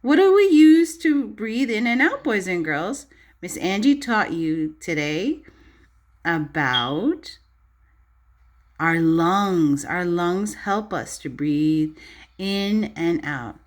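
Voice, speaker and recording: A woman teacher reading a storybook aloud to young children in an expressive, child-directed way, pausing to explain and ask questions. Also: on the low side. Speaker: preschool or early primary teacher.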